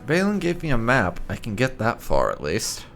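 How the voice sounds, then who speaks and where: A man's voice: medium pitch, huge pitch swings, normal speed, vocal fry, fairly bright tone. A man hosting a gaming video, wryly speaking his character's replies in a light roleplay commentary. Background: faint game music.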